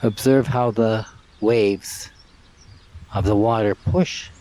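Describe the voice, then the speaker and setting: A man leading a live guided meditation in a calm, measured way, in short phrases with long pauses, outdoors. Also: on the low side. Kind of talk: live guided meditation, spoken softly and unscripted. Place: outdoors by water and trees.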